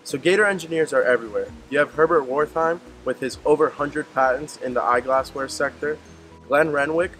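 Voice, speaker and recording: Young guy hosting a campus video, peppy and excited, outdoors on a lapel mic, soft music under him. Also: mid-range voice. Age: young adult. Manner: energetic, enthusiastic. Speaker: student ambassador host. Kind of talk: upbeat campus tour presenting and interviewing.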